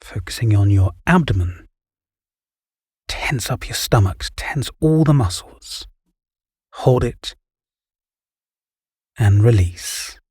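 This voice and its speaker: A man guiding a relaxation meditation, giving calm, soothing, measured instructions. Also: lowish voice, slightly rough, no echo, clean studio sound. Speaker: meditation guide. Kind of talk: guided relaxation meditation, scripted narration.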